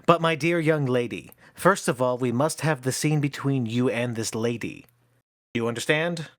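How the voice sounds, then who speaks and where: A man's voice: medium pitch, moderate pace, fairly bright tone, no room sound, studio quality, close to an everyday voice. An actor playing a pompous, fussy, exasperated male theatre manager who talks theatrically in a stage play. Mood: indignant, fussy.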